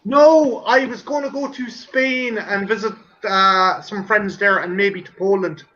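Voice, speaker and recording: A man with an Irish accent chatting casually on a livestream, rambling and swearing, with halting restarts. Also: high voice, bright, forceful tone, lo-fi sound, a little hiss or hum.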